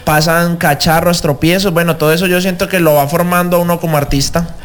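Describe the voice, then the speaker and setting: A man's voice: fairly high pitch, steady tone, a little fast, clean tone. A young Colombian man from Cali with a Colombian accent, a singer being interviewed on the radio, talking in a grateful, humble way with many fillers. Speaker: guest singer.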